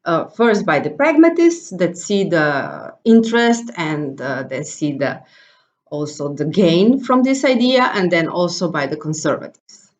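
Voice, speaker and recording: Slovenian-accented woman, conference speaker, lower voice, hesitant with fillers, muffled audio. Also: sing-song, measured pace. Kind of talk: presentation talk.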